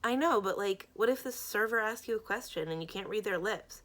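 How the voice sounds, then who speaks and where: A woman's voice: medium pitch, brisk, a bit bright, natural voice. A woman voicing an earnest, apologetic, insecure young girl in a story, hesitant and blurting. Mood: earnest, awkward.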